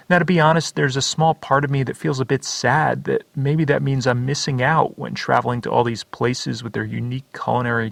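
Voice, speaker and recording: A man hosting a travel podcast, narrating in a relaxed, wry storytelling way. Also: medium pitch, gravelly, no echo. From United States. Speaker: podcast host and reporter. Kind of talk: scripted podcast narration mixed with casual chat.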